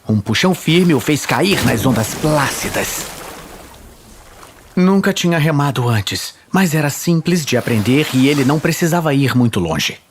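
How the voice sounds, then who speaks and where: Husky Brazilian male narrator. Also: mid-range voice. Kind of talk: dramatic scripted fantasy narration.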